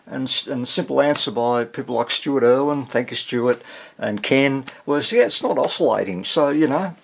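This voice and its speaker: A man's voice: medium pitch, moderate pace, gravelly, slightly rough. A man doing informal hands-on repair commentary as a vintage radio restorer, hesitant, self-deprecating and thinking aloud as he works. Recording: noisy workshop recording with clicks.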